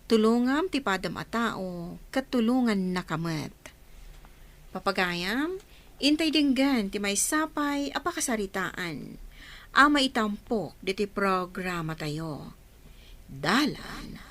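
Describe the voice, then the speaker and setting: A woman's voice: mid-range voice, lively intonation, takes it slowly, a bit bright. A woman hosting a radio programme, reading a scripted introduction and scripture. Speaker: programme host.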